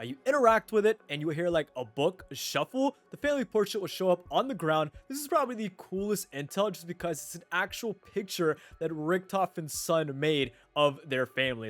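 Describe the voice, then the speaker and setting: A man's voice: fairly high pitch, a bit bright. A man doing an informal voice-over for a video game guide, explaining things step by step. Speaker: gaming video creator. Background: background music.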